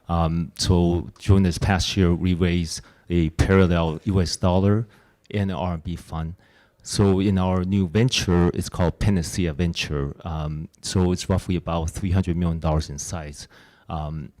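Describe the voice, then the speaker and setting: Fifties male panelist, very low voice, easygoing, lots of "um". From United States. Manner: relaxed, frequent "um". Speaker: venture capitalist panelist. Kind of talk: panel introduction. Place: conference panel.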